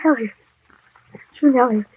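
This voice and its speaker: Cool nurse, clipped and guarded, formal, old radio drama, thin tinny broadcast sound. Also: female voice, a little high, unhurried, slightly rough. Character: cool, guarded female nurse in a doctor's office. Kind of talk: 1950s American radio drama acting.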